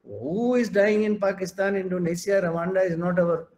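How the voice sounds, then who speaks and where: A man's voice: high-pitched, moderate pace, a little mellow. An older man with an Indian English accent, a native Hindi speaker, giving an emphatic keynote lecture over an online call and mixing Hindi into his English. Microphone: online video call.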